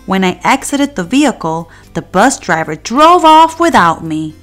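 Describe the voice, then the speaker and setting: A woman narrating a children's picture book in an expressive, deliberate storytelling manner. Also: mid-range voice. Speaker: children's read-aloud narrator. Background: faint music.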